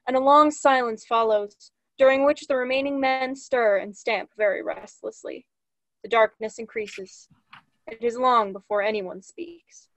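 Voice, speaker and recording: A woman's voice: a little high, a bit bright. A young woman reading stage directions as scripted narration. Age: young adult. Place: room at home, video call.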